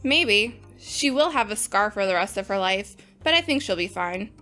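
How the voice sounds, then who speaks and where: A woman's voice: mid-range voice, animated, normal speed, sharp tone. A woman playing a cheerful, practical research instructor who talks in a breezy way, with faint music behind. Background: faint background music.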